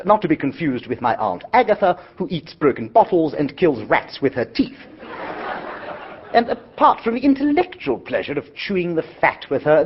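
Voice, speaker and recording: A jaunty young gent. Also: male voice, a little high, heavily acted. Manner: jaunty, animated, breathy. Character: jaunty young English gentleman, flustered. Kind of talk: narration and dialogue in radio drama. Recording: dull lo-fi audio.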